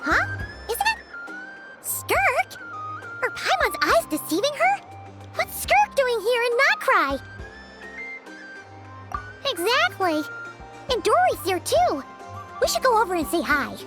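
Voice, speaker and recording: An extremely high, squeaky little fairy. The delivery swings up and down in animated, unhurried phrases, chirpy and inquisitive like a video game sidekick. Music plays behind. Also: female voice, exaggerated voice. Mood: excited, surprised. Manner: squeaky, speaks of self in third person. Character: small childlike fairy companion, chirpy and inquisitive.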